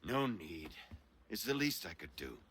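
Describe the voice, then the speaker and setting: Husky, lively patriot statesman. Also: low-pitched, a little slow, exaggerated voice. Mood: confiding, brisk. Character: genial, shrewd male patriot statesman advising a friend. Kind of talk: dramatic video game acting.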